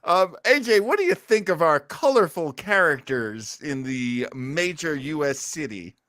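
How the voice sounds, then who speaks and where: A man's voice: on the high side, animated, unhurried, a bit bright, no room sound, clean studio sound. A man hosting a podcast with casual, jokey, mocking commentary on old radio. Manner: jokey, mimics lines mockingly. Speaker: podcast host.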